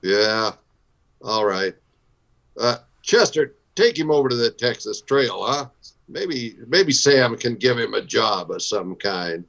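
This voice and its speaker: A man's voice: medium pitch, lively intonation, slow, gravelly, fairly bright tone, dull audio, exaggerated voice. A man playing a stern, plain-spoken Old West town marshal in a radio Western. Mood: calm, questioning. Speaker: voice actor in radio show recreation.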